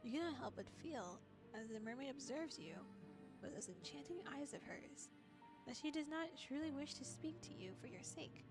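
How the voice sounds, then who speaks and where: Girl vtuber w a high voice reading a visual novel n chatting, playful, giggly, soft music behind. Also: lively intonation, normal speed, rough recording. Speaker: VTuber streamer. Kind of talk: visual novel playthrough, reading aloud with casual commentary.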